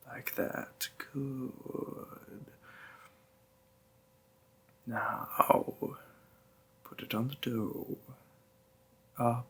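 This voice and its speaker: Man playing a slow, gravelly, doting demon boyfriend. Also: mid-range voice, exaggerated voice. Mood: encouraging. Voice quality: breathy, gravelly, half-whispered. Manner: formal courtly phrasing, softly coaxing. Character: gentle, doting male demon boyfriend, teasing and courtly. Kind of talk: roleplay audio, intimate second-person acting.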